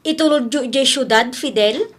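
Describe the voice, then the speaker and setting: A chatty village busybody with a very high voice and an Ilocano Filipino accent, acted by a woman. She is curious and gossipy, in the style of a radio comedy drama. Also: normal speed, lightly acted. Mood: curious, friendly.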